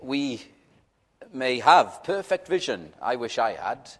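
Man preaching, first language Welsh, very slow delivery, earnest, hesitant, church sermon. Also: mid-range voice, sing-song, fairly bright tone.

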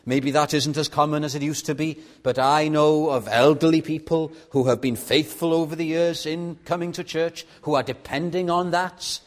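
A man with a Welsh accent, a native Welsh speaker, preaching a sermon in a measured way and putting rhetorical questions to his congregation. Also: on the high side, steady tone. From Wales.

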